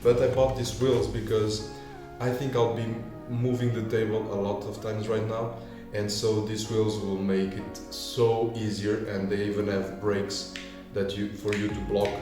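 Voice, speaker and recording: A deep-voiced man casually walking viewers through gear as a video creator, with non-native English phrasing. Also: flat delivery, unhurried. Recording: echoey room, far from mic, music behind. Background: music.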